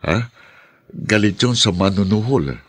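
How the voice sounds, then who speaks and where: A middle-aged schoolmaster in a Filipino radio drama. His voice is low and husky with lively intonation, a Filipino accent and a hesitant, fatherly manner. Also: a little slow. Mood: reluctant, worried. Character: uneasy, principled middle-aged male school teacher.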